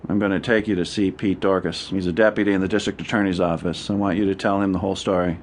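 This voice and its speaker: A man plays an American criminal lawyer in a radio drama. His voice runs low and he talks fast, in clipped, assertive phrases that press each point persuasively. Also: level tone, slight background noise, lightly acted. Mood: brisk, instructing. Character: brisk, insistent male criminal defense lawyer.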